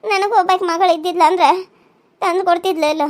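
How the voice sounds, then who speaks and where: A woman's voice: very high-pitched, steady tone. A woman voicing a frail old mother-in-law who pleads and sighs. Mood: pleading. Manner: pleading, sighing, drawn-out complaints.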